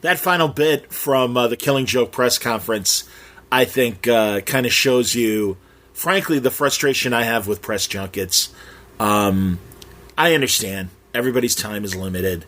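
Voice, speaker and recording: A man's voice: medium pitch, normal speed, a bit bright. A man hosting a podcast, wrapping up in a casual, chatty, opinionated way with some hesitation. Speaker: podcast host. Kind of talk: casual podcast outro commentary.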